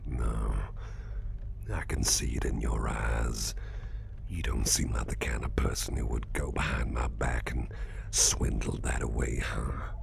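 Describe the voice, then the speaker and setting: A man playing a suspicious, controlled businessman boss in an intimate ASMR roleplay, half-whispering in a measured, politely probing way. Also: flat delivery, noisy background, heavily acted. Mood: measured suspicion. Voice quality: very low, gravelly, breathy half-whisper. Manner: slow, measured, politely probing with pointed pauses.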